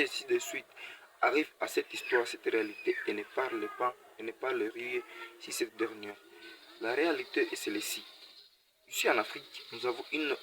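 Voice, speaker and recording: A man's voice: mid-range voice, background noise. A man from Congo delivering a passionate motivational monologue to his viewers, full of repeated words and restarts. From Congo (DRC). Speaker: video creator.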